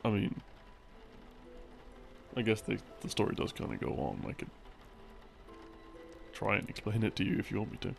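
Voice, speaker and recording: A man playing an affectionate, laid-back gamer boyfriend in an intimate ASMR roleplay, rambling softly and hesitantly to soothe his partner to sleep. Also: lowish voice, animated, creaky. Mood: teasing, relaxed. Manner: soft-spoken, hesitant, teasing, with small chuckles and long pauses. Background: background game music.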